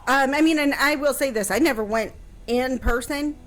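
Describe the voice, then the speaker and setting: A woman's voice: high voice, soft background music. A woman co-hosting a casual radio talk show, reacting readily and sharing her own experiences. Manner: chatty and responsive, quick to react. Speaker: radio co-host.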